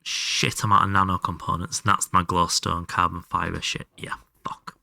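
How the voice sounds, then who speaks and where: A man's voice: low-pitched, level tone, moderate pace, gravelly, sharp tone, no echo. A man doing casual live game commentary and chatting to viewers, sweary and self-deprecating. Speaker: live streamer playing a video game.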